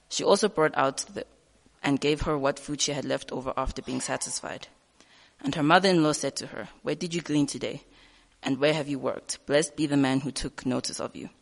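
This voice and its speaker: A man reading a Bible passage aloud at a church service, steady but with small slips he corrects. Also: medium pitch, gravelly. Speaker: scripture reader. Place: church hall. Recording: reverberant church hall.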